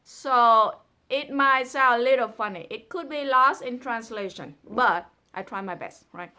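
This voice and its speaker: A woman's voice: fairly high pitch, a little slow, sharp tone. A woman with a Vietnamese accent, a native Vietnamese speaker, casually reading a poem with playful, chatty asides. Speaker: presenter reading a translated poem.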